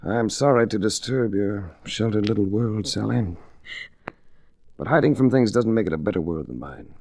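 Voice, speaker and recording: Hard-boiled radio investigator: lowish pitch with wide swings, cool, blunt, clipped, vintage 1940s recording. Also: male voice, moderate pace, vocal fry, dry recording. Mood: weary, resigned. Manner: clipped, deadpan, terse. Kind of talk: 1940s radio detective drama acting.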